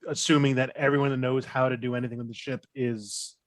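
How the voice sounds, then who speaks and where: A man's voice: medium pitch, level tone, fast talker, dry recording. Casual man on podcast panel, dry recording. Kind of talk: casual fan discussion.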